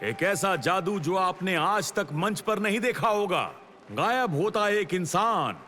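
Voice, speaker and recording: A man's voice: sits high, measured pace, pushed and bright, a little hiss or hum. An actor voicing a self-important, easily panicked boy magician in a cartoon, with a grandiose manner. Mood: grand announcing. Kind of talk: animated cartoon dubbing. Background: music.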